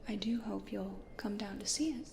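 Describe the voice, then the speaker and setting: A woman voicing a gentle, awkward, old-fashioned plant-loving ghost in an ASMR roleplay, soft, formal and half-whispered, in a roomy recording. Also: mid-range voice, moderate pace, vocal fry, close to an everyday voice. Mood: genteel, welcoming. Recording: roomy echo, faint noise.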